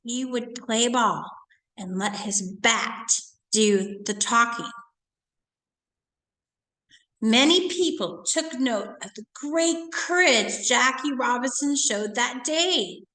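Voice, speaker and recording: A woman's voice: a little high, slow pace, sharp tone, low-quality recording. A woman teacher reading aloud to children, stopping to ask questions and explain things in a didactic way. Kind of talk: read-aloud lesson for children with asides and questions.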